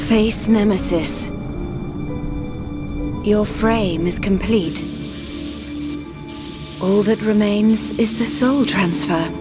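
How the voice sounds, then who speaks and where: Slow, solemn female machine-maker. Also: mid-range voice, music in the background. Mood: cool. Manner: formal, deliberate. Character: solemn, devoted female machine-maker serving her mistress. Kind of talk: video game cutscene acting.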